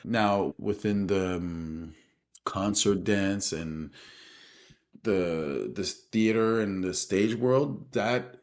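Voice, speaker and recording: A man from Benin speaking reflectively in an interview as a guest, thoughtful and halting, often adding "you know". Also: low voice, a little mellow. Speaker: guest, street dancer and choreographer.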